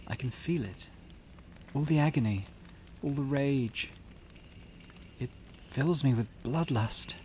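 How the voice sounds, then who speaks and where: A man voicing a formal, intense, vengeful grieving mother from a visual novel in a slow, dramatic delivery. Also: mid-range voice, darkish tone, slight background noise, voice pushed up. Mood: anguished, bloodthirsty.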